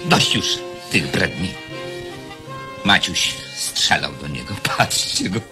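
A man's voice: low-pitched, lively intonation, takes it slowly, over music. An actor playing a haughty, impatient enemy king in a radio play, mocking and imperious. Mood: angry, demanding.

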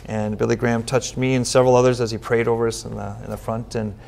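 This is a middle-aged man from Minnesota, a longtime Methodist pastor, seated at a table in a studio. He explains things steadily and earnestly, with everyday fillers and a voice of ordinary pitch. His words come through clean and close. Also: little pitch movement, fast, vocal fry, a little mellow. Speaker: United Methodist pastor, guest. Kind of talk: earnest interview answers. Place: studio set with bookshelf backdrop, seated at table.

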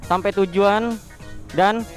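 A young man, chatting excitedly in slang through a helmet intercom while riding a motorbike at night. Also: sits high, slow pace, soft background music. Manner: excitable, slangy, exclaims often. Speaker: motovlogger. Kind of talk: casual riding vlog chat. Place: on a motorbike on city streets at night.

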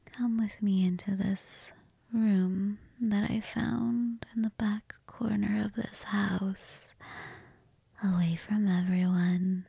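Woman playing a breathy, flirtatious vampire. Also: mid-range voice, flat delivery, dry recording, heavily acted. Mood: seductive. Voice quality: breathy, half-whispered.

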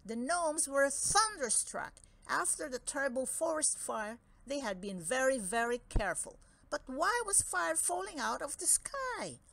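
Slow, expressive woman storyteller. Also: high-pitched, gravelly. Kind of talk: expressive children's read-aloud narration.

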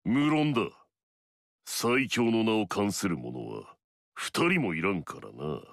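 A scornful warrior who craves battle. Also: male voice, fairly low pitch, wildly swooping pitch, slow, vocal fry, no echo, studio quality, exaggerated voice. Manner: curt, scornful, commanding. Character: arrogant mightiest male warrior, proud and battle-hungry. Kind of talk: video game story dialogue.

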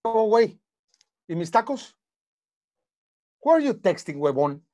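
Blustering dad, profane bilingual banter, very animated pitch, dry close sound. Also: male voice, a little slow, no echo. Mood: irritated. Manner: switches between Spanish and English. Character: gruff Mexican father, profane, blustering, then grudgingly approving. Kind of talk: comedic table read. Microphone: video call.

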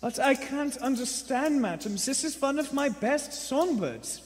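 A man's voice: extremely high pitch, expressive, a little slow, slight background noise. A man playing a kindly, sly old shopkeeper with courteous, wordy speech in a live radio play. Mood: placating, polite. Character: kindly old bird-shop keeper, secretly a bomb maker. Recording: echoey theater hall.

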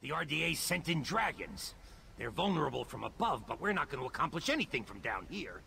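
Gravelly commander, urgent orders, lively pitch, noisy game audio. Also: male voice, a little fast, heavily acted. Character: gruff male military commander giving orders. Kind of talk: video game voice acting.

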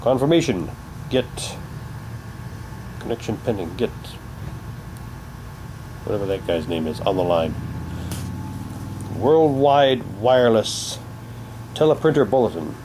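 A man's voice: lowish voice, lively intonation, a little slow, pauses often, background noise. A man casually commenting and reading documents aloud as he presents an unboxing. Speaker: unboxing vlogger.

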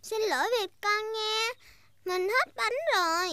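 A performer voicing an expressive, cheerful, bossy little girl piglet in a Vietnamese cartoon dub. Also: extremely high pitch, unhurried, heavily acted. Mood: eager. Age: child character. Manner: expressive, childlike. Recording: music behind the voice.

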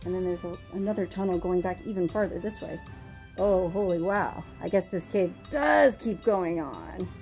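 A young woman vlogging as she explores a narrow cave, chatty and full of excited exclamations, recorded on a handheld camera. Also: lowish voice, normal speed, faint music behind. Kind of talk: casual vlog narration.

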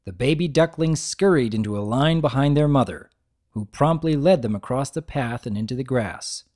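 A narrator reads fiction as a dramatic storybook performance. The pitch is middling and moves around a lot, giving an animated scripted delivery. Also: moderate pace.

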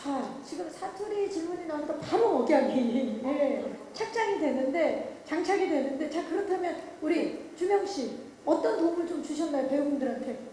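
High-voiced woman MC in an echoey hall. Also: animated, normal speed, few pauses, slight background noise. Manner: bright, bubbly, prompting. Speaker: event MC. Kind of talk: hosting a press Q&A. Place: large event hall. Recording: echoey hall, mic at a distance.

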